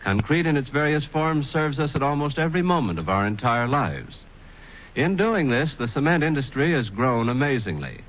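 A man reading scripted sponsor narration as a 1940s radio spokesman, with measured, emphatic phrasing. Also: medium pitch, animated. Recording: muffled, noisy 1940s radio broadcast.

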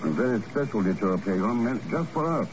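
An actor playing a composed, suave secret agent with a dry, understated manner in a 1950s radio drama. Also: male voice, medium pitch, lively intonation, brisk, natural voice. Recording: muffled, noisy old radio recording.

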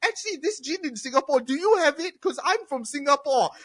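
A young Malaysian man with a Malaysian English accent, chatting casually on a podcast as an animated, profane storyteller who mimics customers. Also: extremely high pitch, fairly quick, dry recording. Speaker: podcast guest, former bartender and film reviewer.

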